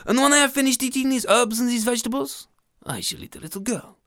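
A woman voicing a greedy, menacing male goat who slowly boasts whom he will eat. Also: on the high side, no echo, studio quality, pitched above the performer's own voice, close to an everyday voice. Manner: slow, grand threats, shrieking shouts when bitten.

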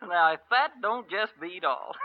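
A man's voice: sits high, animated, unhurried. A man playing a good-natured, sheepish cowhand with folksy, ungrammatical talk in an old-time radio western. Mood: amused, sheepish. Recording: dull vintage radio recording.

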